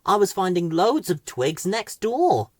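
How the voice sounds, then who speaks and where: A busy female bird from a children's storybook, voiced by a woman, brisk and a little exasperated. Her pitch rises and falls widely. The sound is dry. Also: big pitch swings, no echo. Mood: matter-of-fact.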